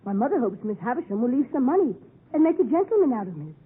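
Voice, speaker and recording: A woman playing a cocky, chirpy young gentleman boy with brisk, formally polite bravado in a 1950s radio drama. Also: a little high, sing-song, husky, full character voice. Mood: cocky, curious. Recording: muffled narrow-band 1950s radio recording.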